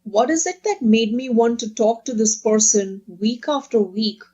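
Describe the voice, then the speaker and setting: A woman's voice: fairly high pitch, unhurried, warm tone, muffled recording. Earnest, laughing woman podcast guest. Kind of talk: casual conversational interview.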